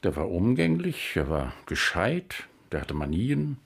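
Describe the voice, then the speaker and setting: Casting: an offhand prison commandant for radio crime drama, deep, slightly hoarse-edged voice, terse delivery. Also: male voice, wildly swooping pitch, measured pace, exaggerated voice. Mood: curt, offhand. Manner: terse, matter-of-fact.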